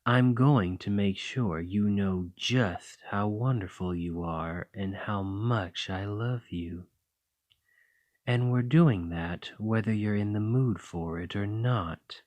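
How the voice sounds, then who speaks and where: A man playing a slow, teasing dragon lover. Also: lowish voice, no echo, dull audio. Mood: tender. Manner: soft, playful and coaxing. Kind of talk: ASMR roleplay.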